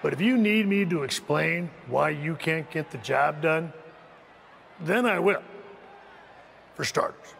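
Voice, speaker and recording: An actor playing a scheming, condescending wrestling faction leader in a game cutscene, speaking in a measured, stern, gravelly way. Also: male voice, on the high side, a bit bright, a faint crowd, slight background noise. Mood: scornful lecturing.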